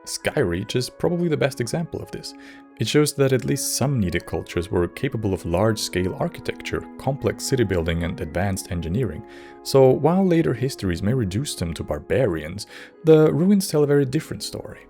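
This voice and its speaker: A man reading scripted lore narration in a measured, wry, explanatory way, as a video essay narrator. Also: medium pitch, big pitch swings, warm tone. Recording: music bed under narration. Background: background music.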